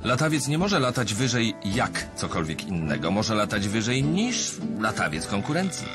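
A man's voice: on the low side, big pitch swings, normal speed. A man reading scripted humorous narration in a didactic, wry manner, with music underneath.

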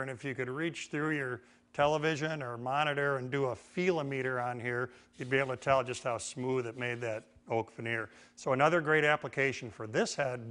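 A man's voice: mid-range voice, a bit bright. A middle-aged man with an American accent giving an informal, folksy hands-on instructional demonstration as a woodworking teacher. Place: indoor woodworking shop.

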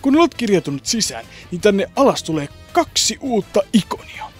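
Male presenter, higher register, steady explanatory website walkthrough over soft music. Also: slightly rough. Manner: plain explanatory, trailing off softly. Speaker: fandub group member presenting its website.

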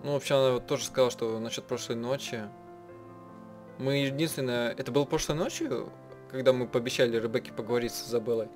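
A man's voice: medium pitch, a little mellow. A man translating a visual novel aloud and commenting casually, often stopping to rephrase as he goes. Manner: halting, often rephrasing mid-sentence. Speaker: game player translating and voicing a visual novel. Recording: music playing behind the voice. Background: game soundtrack music.

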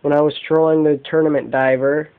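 A man giving an unscripted show-and-tell as an amateur vlogger, talking in halting, short phrases on a camera microphone indoors. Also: a little high, level tone, clean tone. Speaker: amateur fishing vlogger showing lures. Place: indoors, carpeted room at home. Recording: dull, muffled lo-fi home video.

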